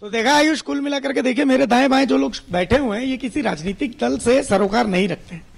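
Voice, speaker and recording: A male news reporter with an extremely high pitch for a man and a strong creak in his voice. He moderates guests live, laying out questions in a conversational, explanatory manner. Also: fast.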